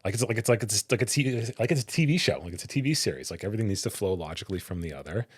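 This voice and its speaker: A man who is a political science professor, answering interview questions in a big, animated voice with frequent restarts. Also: fairly low pitch, racing, slightly rough, no echo.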